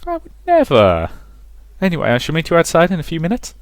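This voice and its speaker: A man voicing a giddy, warm young woman pianist in a visual novel. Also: a little high, huge pitch swings, fast talker, no echo, studio quality, voice pushed up. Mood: excited, friendly.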